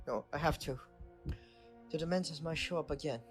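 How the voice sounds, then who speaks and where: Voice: mid-range voice, a little fast, faint music behind, lightly acted. A performer playing an earnest, determined teenage boy wizard in a fan audio drama.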